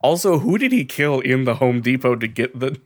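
A man hosts a casual, joking talk about a video game. His pitch sits in a middle range, and he comments loosely with plenty of swearing. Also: very animated, normal speed, no echo, studio quality. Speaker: podcast host.

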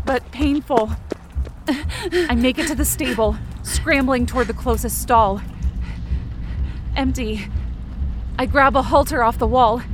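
A woman narrating first-person horror fiction in the present tense, with dramatic, urgent storytelling. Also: high voice. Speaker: podcast writer and performer. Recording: loud sound effects and noise behind.

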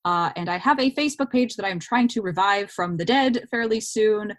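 A woman's voice: mid-range voice, normal speed. Chatty woman with a mid-range voice. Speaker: podcast guest, actor and cosplayer. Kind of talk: casual interview chat.

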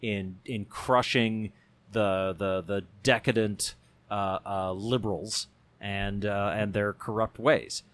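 A man on a talk show who owns a bookstore, talking politics casually in animated bursts with many restarts. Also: fairly low pitch, slow, no room sound. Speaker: show regular and bookstore owner.

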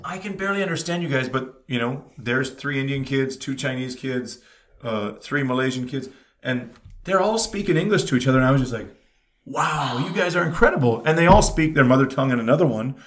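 A man's voice: mid-range voice, brisk. A man from Canada, chatting casually and telling stories with emphatic energy. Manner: animated storyteller, emphatic. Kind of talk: casual chat.

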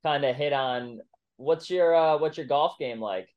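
A man's voice: on the high side, a little slow, darkish tone, dull audio. A man co-hosting a podcast, speaking casually as he chats with and questions a guest. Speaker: podcast co-host. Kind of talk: casual interview chat.

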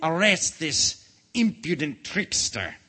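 Guy doing a bossy furious fairytale king, shouty and indignant, voice swoops all over. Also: mid-range voice, lively intonation, moderate pace, breathy edge, full character voice. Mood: angry. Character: imperious, furious old-fashioned king, quick to rage.

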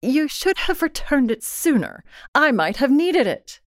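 A woman's voice: sits high, sing-song, a little slow, dry recording, studio quality, voice pushed up. A woman voicing a genteel, reserved, well-off lady in a storybook reading, polite and emphatic. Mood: chilly.

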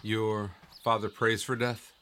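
Low-voiced, calm mysterious guide played by a man. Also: slow, a little hiss or hum. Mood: gently probing. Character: calm, mysterious male guide, faithful and reassuring. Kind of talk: audio drama acting.

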